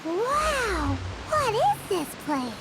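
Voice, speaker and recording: A woman voicing a tiny, timid, childlike female fairy companion with a squeaky voice in a video game. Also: sing-song, extremely slow, background noise, exaggerated voice. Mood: curious. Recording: loud music behind the voice. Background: game music.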